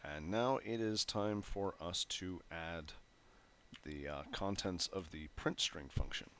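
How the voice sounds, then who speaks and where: Male tutorial host, low-ish and unhurried, phlegmy throat, casual coding walkthrough. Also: a bit bright. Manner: thinks aloud while typing, frequent fillers and self-corrections. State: phlegmy, clearing his throat. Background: keyboard typing and clicks.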